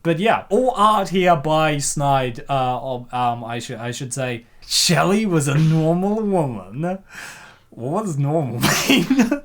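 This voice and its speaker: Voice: medium pitch, expressive, slow. A co-host narrating a comic dub and reacting casually, with an ironic, teasing manner.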